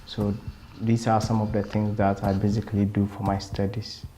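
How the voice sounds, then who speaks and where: A young man from Ghana, a doctoral student, explaining his work routine in a steady way. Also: fairly low pitch, normal speed, a little hiss or hum. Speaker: PhD student interviewee. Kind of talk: casual explanatory account.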